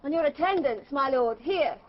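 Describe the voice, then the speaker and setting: An actress playing an earnest, courteous youth in a duke's service, polite and questioning, in a Shakespearean radio play. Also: female voice, high voice, animated, moderate pace, lo-fi sound, slight background noise. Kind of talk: Shakespearean radio drama acting.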